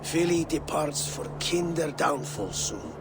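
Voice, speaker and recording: An actor playing an ailing old Viking jarl in a game drama, giving grave, weary counsel in a breathy, gravelly voice. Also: male voice, on the high side, big pitch swings, over loud music. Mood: solemn counsel. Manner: slow, grave, measured counsel. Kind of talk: dramatic video game acting. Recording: loud background noise under the voice.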